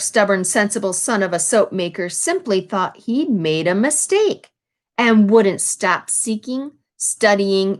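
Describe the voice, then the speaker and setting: A woman reading a children's picture book aloud in an expressive, instructive way, adding explanations for young listeners. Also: mid-range voice. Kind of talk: read-aloud storytelling with explanatory asides to children.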